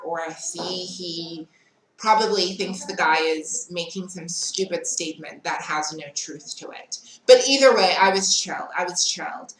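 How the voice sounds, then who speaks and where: A young woman with a South African accent recounts a story in a laid-back storytime vlog. Her voice sits a little low and she talks slowly and chattily, staying frank and unbothered. The sound bounces around an echoey room. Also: fairly bright tone, a little hiss or hum. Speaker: vlogger.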